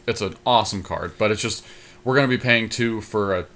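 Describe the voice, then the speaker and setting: A man co-hosting a podcast, casually commentating a card game draft and thinking aloud as he deliberates over choices. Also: lowish voice, fairly bright tone. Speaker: podcast co-host.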